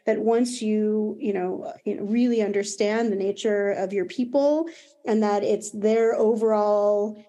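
A woman's voice: medium pitch, fairly even intonation, a little slow, mellow. A woman with an American accent speaking conversationally as an executive panelist in an online discussion. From United States.

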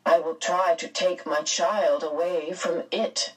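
A woman voicing a mild, determined middle-aged father in a storybook, polite and a little halting. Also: lowish voice, steady tone, slightly rough, distant mic, poor audio.

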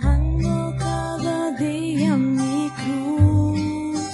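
Female worship singer, very high, slow, with loud guitar behind her. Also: flat delivery. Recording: singing over loud guitar music.

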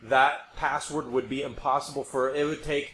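A man's voice: medium pitch, steady tone, moderate pace, fairly bright tone. A man hosting a podcast, explaining his opinions casually and emphatically, repeating points for effect. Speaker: podcast host. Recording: roomy echo, background noise.

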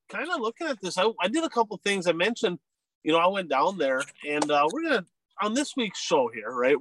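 A man's voice: on the high side, a bit bright, dry recording, low-quality recording. Nasal, stuffy-voiced man with a cold. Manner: chatty, upbeat, rambling with restarts. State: head cold. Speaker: podcast host. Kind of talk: casual racing podcast chat.

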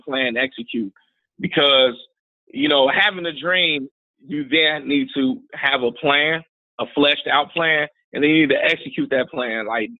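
A man's voice: fairly high pitch, dry recording. A man guest on a podcast interview, earnest and explaining things step by step. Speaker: guest, real estate investor. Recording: tinny phone-like line.

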